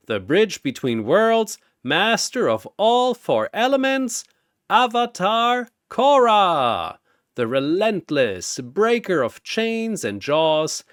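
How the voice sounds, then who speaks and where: A man voicing a grandiose many-armed arena announcer creature in a story, with bombastic, drawn-out proclamations. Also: sits high, smooth, no echo, studio quality, voice pushed up, full character voice.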